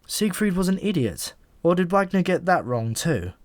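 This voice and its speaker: A man's voice: high-pitched, big pitch swings, normal speed, no echo, pitched above the performer's own voice. A man voicing an irritable, insecure ordinary modern man in an audiobook, testy, incredulous and full of questions. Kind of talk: audiobook character voice.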